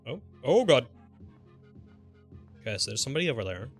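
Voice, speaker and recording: Male game streamer, mid-pitched and highly animated, casual and exclamatory, music underneath. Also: a little fast. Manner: reactive, exclamatory, swearing. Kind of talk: casual live gameplay commentary.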